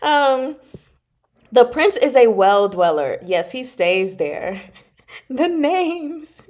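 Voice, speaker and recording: A woman chatting casually as host, retelling a novel to viewers in an animated, giggly, slangy way and acting out reactions. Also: fairly high pitch, drawn-out words. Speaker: book club host and storyteller.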